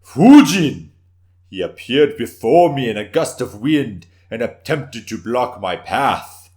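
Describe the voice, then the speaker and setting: A man's voice: mid-range voice, sing-song, slow pace, background noise, voice pushed up. A man voicing a proud, theatrical samurai warrior, declaiming his boasts in archaic, formal speech for a story. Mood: boastful.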